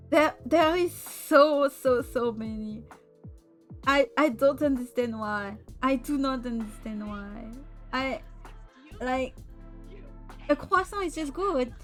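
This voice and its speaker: A woman with a French accent, a native French speaker, chatting casually as a streamer, giggling often and stumbling over repeated words. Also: high-pitched. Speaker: VTuber streamer.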